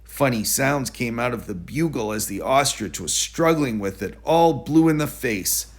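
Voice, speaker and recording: Lively man reading a children's storybook. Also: mid-range voice, measured pace. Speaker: storybook reader. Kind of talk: expressive children's story read-aloud.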